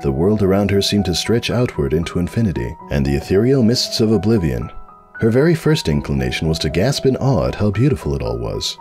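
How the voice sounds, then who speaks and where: A man reading scripted comic fiction as a dramatic narrator, mock-grandiose with deadpan asides. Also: low-pitched, fairly quick, soft background music.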